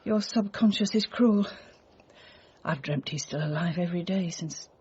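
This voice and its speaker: A woman's voice: lowish voice, normal speed, a little mellow, close to an everyday voice. An actress playing an older widowed mother, anxious and down-to-earth, in naturalistic radio drama dialogue. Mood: sad, subdued. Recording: muffled, faint background noise.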